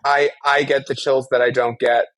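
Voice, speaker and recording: A man's voice: medium pitch, little pitch movement, moderate pace, fairly bright tone, no room sound. A man co-hosting a podcast, chatting casually about a favorite show in an effusive, playfully theatrical way. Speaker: podcast co-host. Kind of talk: casual fan discussion of an audio drama.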